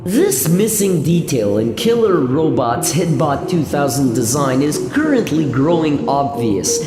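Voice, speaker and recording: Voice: medium pitch, sing-song, measured pace. A narrator reading a scripted science fiction radio serial in a storytelling style. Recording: echoey long reverb. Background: music.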